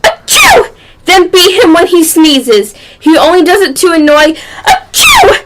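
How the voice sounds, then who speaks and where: A woman's voice: very high voice, huge pitch swings, unhurried, heavily acted. A female amateur actor playing a brusque, bad-tempered duchess in a radio play. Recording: loud background noise.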